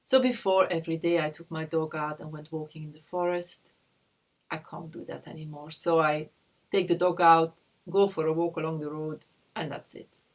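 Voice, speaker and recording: A woman from Belgium speaks over a video call from her home. Her voice sits fairly low, and she talks haltingly, pausing to search for words. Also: little pitch movement, low-quality recording. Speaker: interviewee. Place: home in a rural village.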